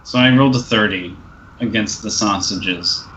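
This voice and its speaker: A man's voice: lowish voice, moderate pace, sharp tone. A man playing a tabletop game, bantering casually with the group. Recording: muffled, noisy home recording.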